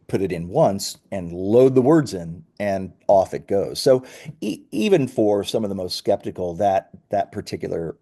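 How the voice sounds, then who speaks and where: A man with a notably low voice and a warm, relaxed tone. He speaks as a founder in an interview, explaining things conversationally and in a measured way. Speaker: company founder being interviewed.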